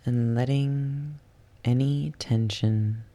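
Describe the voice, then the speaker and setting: A soft, hushed voice guiding a yoga nidra relaxation in ASMR style, soothing and unhurried, slipping at times into a whisper. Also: medium pitch, steady tone. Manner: soothing, unhurried, with long pauses. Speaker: guided relaxation instructor.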